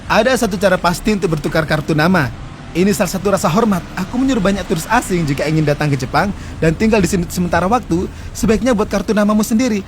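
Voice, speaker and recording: Fast-talking man in his forties, high-pitched. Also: a little hiss or hum. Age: 40s. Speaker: interview guest. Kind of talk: explaining customs in casual conversation.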